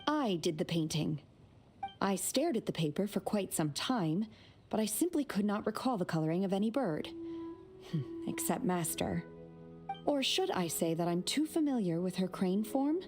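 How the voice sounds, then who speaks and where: A woman plays a young female disciple in a fantasy game. She is calm and earnest, with a medium-pitched, measured, matter-of-fact voice over clear background music. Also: natural voice. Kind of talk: video game voice acting. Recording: music playing behind the voice.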